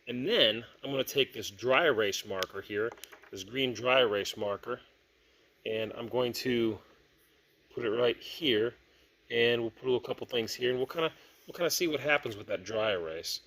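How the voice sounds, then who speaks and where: A man's voice: mid-range voice, fairly bright tone, a little hiss or hum. A man hosting a casual hands-on tabletop gaming product review, talking conversationally and thinking aloud as he goes.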